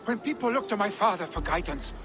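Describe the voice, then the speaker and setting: An actor playing a passionate, headstrong young Viking in a video game, forceful and pleading. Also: male voice, high voice, lively intonation, fast talker, music in the background. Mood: urgent, indignant. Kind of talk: video game dramatic acting.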